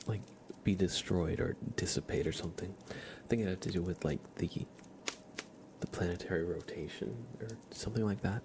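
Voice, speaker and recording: Man, deep creaky voice, soft ASMR delivery, drifting into whispers, audible ambient noise. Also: moderate pace. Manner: soft-spoken, often dropping into whispers. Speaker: livestream host. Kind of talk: ASMR livestream chat.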